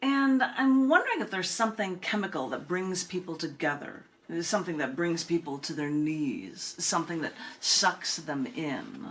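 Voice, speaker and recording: A woman reading spoken-word poetry in measured, deliberate phrases with dramatic pauses. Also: on the low side, animated, a bit bright. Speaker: poet reading own work, host of the reading.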